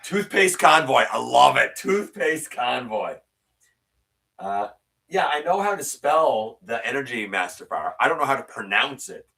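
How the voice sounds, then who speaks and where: A man hosting a live stream, reading viewer comments and answering them in casual, opinionated chat, his voice sometimes a little raspy. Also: sing-song, measured pace, sharp tone, far from the mic. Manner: opinionated, ranting with rising pitch when worked up.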